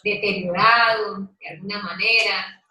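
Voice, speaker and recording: A woman's voice: mid-range voice, fairly even intonation, sharp tone. A woman leading a Bible study, reading scripture and drawing out her listeners with questions. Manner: slow, questioning, coaxing listeners to take part. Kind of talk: interactive Bible teaching. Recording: echoey room, mic at a distance.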